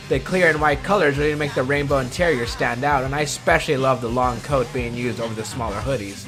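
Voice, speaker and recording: Wry man hosting a countdown over music. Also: medium pitch. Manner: wry, self-deprecating asides. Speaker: video host. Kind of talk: scripted countdown commentary. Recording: background music under the voice.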